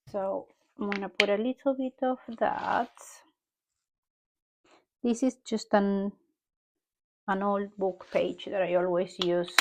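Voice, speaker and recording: A woman from Peru with a strong Peruvian Spanish accent, talking casually through an art journal page as she paints, often pausing and correcting herself as she thinks aloud. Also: mid-range voice, creaky. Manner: musing aloud while painting, unhurried and self-correcting. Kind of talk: casual art tutorial narration.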